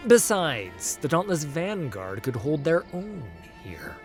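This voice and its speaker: A man narrating a fantasy story in an intense, dramatic scripted style, dropping at times into hushed tones. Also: on the high side, very animated, takes it slowly. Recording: music under the voice. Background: music.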